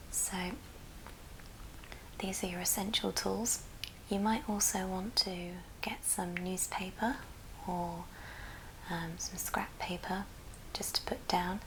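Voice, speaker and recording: A woman hosts an ASMR craft tutorial in a soft, breathy whisper. She goes very slowly and gently, step by step, in a slightly low pitch. The recording has clear background noise with small clicks. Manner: unhurried, gentle step-by-step delivery. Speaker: host of an ASMR craft channel. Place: indoors at a friend's house. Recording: clearly noisy room, clicking sounds.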